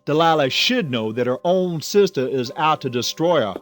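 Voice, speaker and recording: A man's voice: fairly high pitch, animated, moderate pace, lightly acted. A man playing a suspicious, teasing confidant in a soap-opera audio drama, with wry, challenging delivery. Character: suspicious, teasing male confidant who pries for secrets. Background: faint music.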